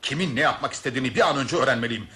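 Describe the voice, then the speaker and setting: A man's voice: mid-range voice, rapid-fire, sharp tone. A man acting in a Turkish radio play as a blustering, self-protective train passenger, scoffing and emphatic. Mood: irritated, suspicious.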